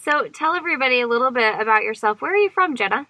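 A woman's voice: high voice, animated, racing, pushed and bright, dry recording, rough recording. A woman hosting a podcast, asking questions in a casual, conversational interviewing style.

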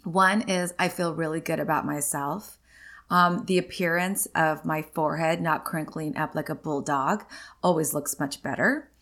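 A woman in her late forties hosts a solo podcast in an easy, confessional chat. Her voice sits a little low for a woman, and she talks openly, filling her sentences with ums and likes as she goes. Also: steady tone, moderate pace, fairly bright tone. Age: 40s. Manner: chatty and candid, many ums and likes. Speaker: podcast host.

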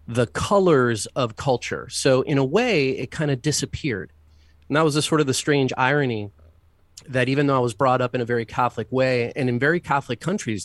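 A man's voice: mid-range voice, dry recording. A man from the United States, a deacon and interview guest, telling his life story thoughtfully, with frequent fillers. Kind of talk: reflective personal storytelling.

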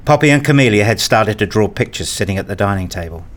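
A man reading scripted first-person narration for a radio play in a measured, understated, literary manner. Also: on the low side, expressive. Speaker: narrator and lead character, a father.

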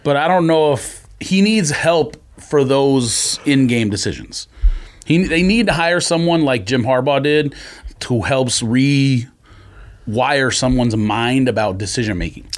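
A man's voice: medium pitch, expressive, a little slow. A man co-hosting a casual sports podcast, arguing his points in slangy talk and often jumping in. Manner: argumentative, slangy, frequently interjecting.

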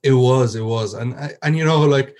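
This is an earnest young man from Ireland, speaking as a guest on a podcast. His voice sits at an ordinary middle pitch for a man. He talks through his story with frequent restarts and fillers as he searches for words. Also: moderate pace, darkish tone. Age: 20s. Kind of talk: personal testimony in an interview.

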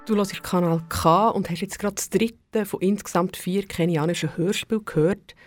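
A woman's voice: mid-range voice, steady tone, normal speed, darkish tone, soft background music. A woman presenting as a radio host, introducing audio plays.

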